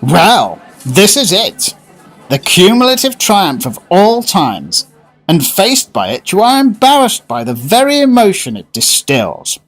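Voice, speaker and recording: An actor playing a solemn, eccentric, solitary male gourmet in a radio drama, speaking in formal, deliberate, florid phrases. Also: sits high, wildly swooping pitch, heavily acted. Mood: excited.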